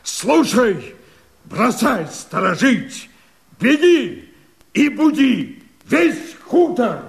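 An actor playing a fierce, brooding leader of a peasant revolt, declaiming verse theatrically in a husky voice. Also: male voice, very high-pitched, big pitch swings, heavily acted. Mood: furious, rousing. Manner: theatrical, impassioned, drawn-out declamation. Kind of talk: declaimed verse radio drama.

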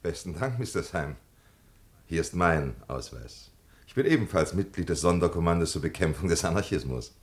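A curt, commanding doctor in a radio play. His voice sits fairly low yet moves lively in pitch. His dry amusement lies under the clipped orders. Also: moderate pace. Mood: amused. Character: brusque, amused man in dark glasses, commanding. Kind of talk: German radio play acting.

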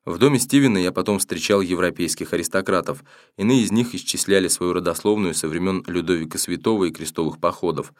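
Low-voiced guy reading a first-person novel, steady and matter-of-fact, dry close sound. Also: fast talker, dry recording. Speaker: audiobook narrator.

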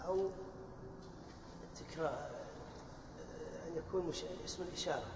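A male student answering his teacher in class, quiet and hesitant as he recalls. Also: a little high, moderate pace, slight background noise. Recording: distant voice in echoey hall, lo-fi.

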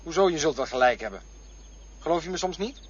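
Radio play role: defensive, boastful man, taunting and insistent, very lively delivery, muffled old recording. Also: a little high, fast talker, breathy edge. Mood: scornful, taunting. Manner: insistent, taunting, repeats himself. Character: boastful, defensive man arguing over his boyhood memories.

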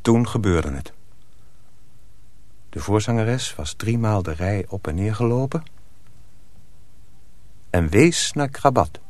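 Casting: male narrator for dramatic radio story, fairly low voice, close dry sound. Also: expressive, slow, long pauses, a little mellow, no room sound.

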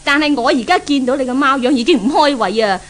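High-voiced spoiled, willful young Hong Kong woman. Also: lively intonation, fast talker. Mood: scornful, petulant. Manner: haughty, petulant, mocking. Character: spoiled, willful, thrill-seeking young woman, doted-on only daughter. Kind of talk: 1970s Cantonese radio drama acting.

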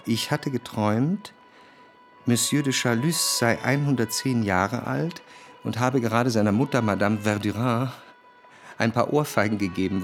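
An actor playing a reflective, cultured man who recalls his past in a literary radio drama, with measured, precise diction. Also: medium pitch, lightly acted. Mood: animated recollection. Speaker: narrator.

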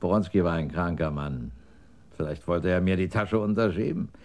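An actor playing a surly, sly drug dealer who grumbles defiantly and evasively in a radio crime drama. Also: male voice, low-pitched, normal speed, darkish tone. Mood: defensive, smug.

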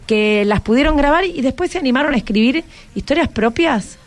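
A woman's voice: high-pitched, expressive, brisk. A woman with a Rioplatense Argentine accent, hosting a conversational interview and prompting with frequent check questions. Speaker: radio host interviewing schoolchildren.

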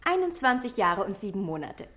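A woman's voice: medium pitch, big pitch swings, brisk, a bit bright. An actress playing a cynical, defiant young shop woman in a German radio drama, speaking in a cool, mocking way. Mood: jaded.

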